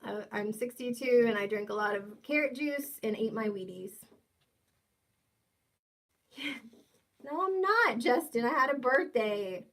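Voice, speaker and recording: Twenties woman, livestream host, casual and jokey, slightly high voice. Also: lively intonation, unhurried, pauses often, a bit bright. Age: 20s. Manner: playful, teasing, jokey asides. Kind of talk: casual livestream chat reading comments.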